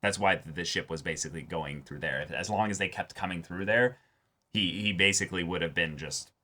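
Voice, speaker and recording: A man with a fairly low yet bright, forward voice chats casually as a show co-host. He makes his points emphatically, often repeating a phrase while he builds up to them. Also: fairly quick. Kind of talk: casual TV episode discussion.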